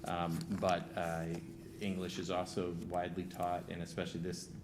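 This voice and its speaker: A man giving an informal slide presentation as a trip leader, speaking haltingly and searching for his words. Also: sits low, completely flat delivery. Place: public school committee meeting. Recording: hum and loud noise behind voice.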